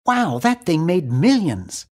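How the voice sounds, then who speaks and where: A man's voice: high voice, very animated, slow, darkish tone, exaggerated voice. An actor playing an earnest, eager, nerdy young college man in a cartoon game. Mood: curious, eager.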